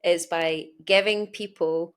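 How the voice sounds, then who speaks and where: A woman's voice: fairly low pitch, fairly even intonation, slow, smooth. A talkative woman with a Glasgow Scottish accent, explaining her points conversationally as an interview host. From Scotland.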